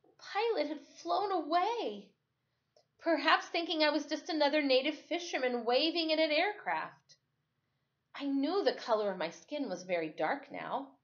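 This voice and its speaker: A woman reads a children's novel aloud as a storyteller, with expressive, dramatic and deliberate phrasing. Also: sits high. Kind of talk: expressive first-person story read-aloud. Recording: dull lo-fi recording.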